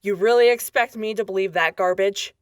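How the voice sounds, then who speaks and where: A woman's voice: mid-range voice, fairly quick, no room sound, studio quality, lightly acted. A woman playing a tough, exasperated, sarcastic wasteland sheriff in a post-apocalyptic radio drama. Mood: stern, sarcastic. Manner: gruff, bossy, sarcastic.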